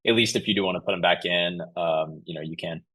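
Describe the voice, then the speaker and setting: A man's voice: deep voice, fast, a bit bright, slight background noise. A man answering interview questions in a conversational, explanatory way, often saying "you know". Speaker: podcast guest, company chief executive.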